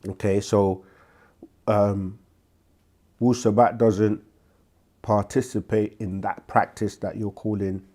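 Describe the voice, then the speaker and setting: A man with a low, slightly congested voice who speaks very slowly and deliberately. He has a sore throat and often restarts his sentences as he answers viewers' questions on a live stream. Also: warm tone. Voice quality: slow, deliberate delivery with frequent restarts. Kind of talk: livestream Q&A teaching.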